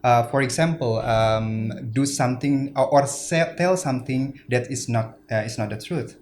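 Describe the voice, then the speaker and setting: A man's voice: medium pitch, moderate pace, a little mellow, a little hiss or hum. A man from Indonesia answering interview questions earnestly, with many fillers and restarts. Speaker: guest speaker.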